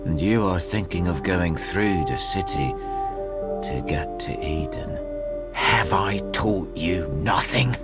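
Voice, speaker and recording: An actor playing a weary, stern, fatherly old leader in a video game, with a gravelly voice and slow, grave delivery. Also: expressive. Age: older. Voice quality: gravelly, deep. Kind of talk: video game character acting. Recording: loud music under the voice.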